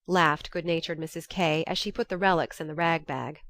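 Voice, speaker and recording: A woman narrates a classic novel in the first person, measured and expressive. Her voice sits slightly low and is recorded very dry and close, without room sound. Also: dry recording. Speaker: audiobook narrator.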